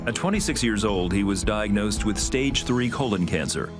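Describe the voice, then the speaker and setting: Low male narrator over guitar music. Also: normal speed. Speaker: narrator of TV segment. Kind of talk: scripted promotional narration.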